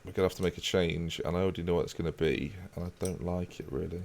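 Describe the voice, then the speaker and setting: A man's voice: low-pitched, quick pace, vocal fry. A man casually chatting with his live-stream viewers while playing a football management game. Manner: relaxed, chatty, talks to chat while playing.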